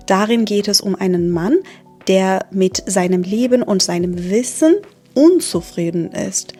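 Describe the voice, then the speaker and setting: Slow, careful woman teaching German. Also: mid-range voice. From Iran. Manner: careful, measured delivery with occasional hesitations. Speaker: German teacher and channel host. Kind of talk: slow, clear teaching narration for learners.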